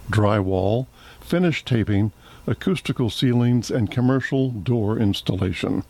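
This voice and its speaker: An older man reading a sponsor announcement and chatting on community radio, steady when reading and halting when speaking off the cuff. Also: medium pitch. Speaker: radio station regular and announcer. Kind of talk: underwriting announcement and on-air chat. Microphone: studio microphone.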